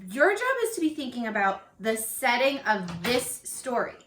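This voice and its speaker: A woman with a fairly high, bright voice leads a children's read-aloud lesson. She speaks expressively and encouragingly, at an unhurried pace, like a teacher with young pupils. The sound is roomy, recorded in a living room at home. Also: sing-song. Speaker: elementary school teacher. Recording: roomy, echoey home video.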